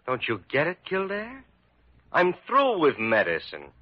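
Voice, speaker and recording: A man's voice: a little high, huge pitch swings, unhurried, heavily acted. A man playing a bitter, proud, hot-tempered young intern in a classic American radio drama, curt and defiant. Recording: dull vintage radio recording.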